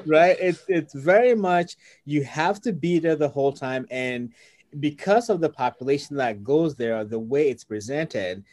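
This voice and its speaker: A man's voice: fairly high pitch, measured pace, a little mellow, no room sound. A man interviewing a guest, steering her with leading questions and laughing asides. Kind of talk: conversational interview.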